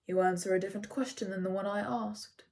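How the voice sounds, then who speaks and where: A woman reading as a wise old centaur, stern yet patient, speaking in an even, factual, unhurried tone in a storybook telling. The voice sits a little lower than the reader's own and takes on an altered, grainier colour. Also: lightly acted. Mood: measured. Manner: neutral, calm, factual. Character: calm stern ancient male centaur teacher.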